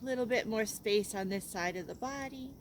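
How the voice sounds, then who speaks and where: A woman yoga teacher leading a guided relaxation in a gentle, unhurried, soothing way, leaving pauses between instructions. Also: mid-range voice, slight background noise.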